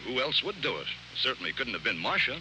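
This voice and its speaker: An actor playing a detective's puzzled, skeptical associate in a 1940s American radio drama, with a questioning, excitable manner. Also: male voice, mid-range voice, fast, lightly acted. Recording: noisy, hissy 1940s radio recording.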